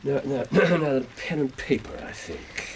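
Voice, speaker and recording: Man doing an eccentric english detective, very animated, precise and self-assured, radio play w/ some background noise. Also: mid-range voice, measured pace, natural voice. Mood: excited, preoccupied. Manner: mercurial, precise, muttering. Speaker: actor playing detective. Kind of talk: BBC radio drama acting.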